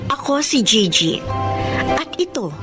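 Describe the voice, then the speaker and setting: A woman's voice: a little high, big pitch swings, unhurried, loud music behind the voice. An actress with a Filipino accent playing a feisty young woman standing firm, with emphatic radio drama delivery. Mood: reflective. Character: feisty young woman firmly rejecting her clingy ex.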